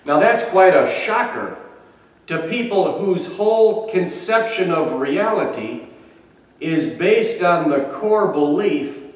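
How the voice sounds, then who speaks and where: A man in his sixties, a pastor giving a measured, emphatic sermon with deliberate pauses, filmed by a camera across a small church sanctuary. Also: a little high. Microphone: far camera. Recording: echoey church from far off.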